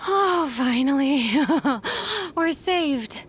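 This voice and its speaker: Slow, gushing young secretary. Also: female voice, extremely high pitch, expressive, a bit bright, a little hiss or hum, heavily acted. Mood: relieved. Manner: reverent, drawn-out, gushing. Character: young female secretary, adoring, dramatic, relieved. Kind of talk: video game voice acting.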